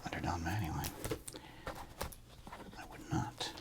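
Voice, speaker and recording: A man doing whispered ASMR, murmuring casually to himself in an unhurried way. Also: rumbling low, fairly even intonation, gravelly, heavy background noise. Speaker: ASMR host.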